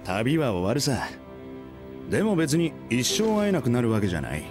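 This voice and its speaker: A man voicing a blunt, easygoing young male adventurer in a game cutscene, speaking in a casual, rough-edged way. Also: medium pitch, huge pitch swings, moderate pace. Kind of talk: video game cutscene voice acting. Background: game soundtrack music.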